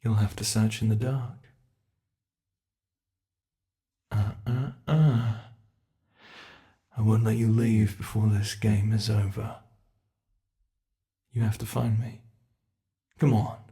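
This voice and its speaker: A man's voice: on the low side, flat delivery, slow pace, pauses often, mellow. A man voicing an ancient, playful monster under the bed, with a British accent, teasing and menacing in a whispery ASMR roleplay.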